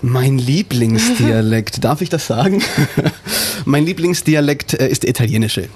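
A man's voice: medium pitch, expressive, measured pace, darkish tone, husky. A man from an improv theater group talking on a radio show and co-hosting it, with many hesitant fillers. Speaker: improv actor and co-host. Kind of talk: radio interview. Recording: radio studio.

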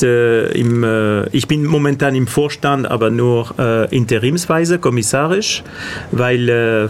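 A middle-aged man from Catalonia speaks with a Spanish accent shaped by years in France. He pauses often with fillers and searches for words, at an average pitch. A faint hiss sits behind him. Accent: Spanish, with French influence. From Catalonia, Spain. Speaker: guest, association organizer. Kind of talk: interview answers.

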